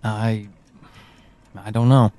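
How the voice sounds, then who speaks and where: A man playing an evasive, uneasy friend in an audio drama, speaking in a halting, confessional way. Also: fairly low pitch, flat delivery, mellow. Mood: reluctant. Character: evasive male friend, uneasy, withholding a troubling memory.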